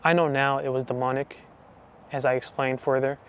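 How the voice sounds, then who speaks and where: A man in his twenties giving a personal faith testimony, talking softly and hesitantly with many restarts. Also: medium pitch, steady tone, normal speed, a little hiss or hum. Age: 20s. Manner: soft, hesitant, full of restarts and fillers. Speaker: channel host giving testimony.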